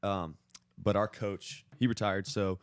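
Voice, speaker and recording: A man's voice: lowish voice, steady tone, unhurried, no room sound, clean studio sound. A young man from North Carolina co-hosting a podcast, chatting casually among friends. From North Carolina, United States. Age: young adult. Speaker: podcast co-host.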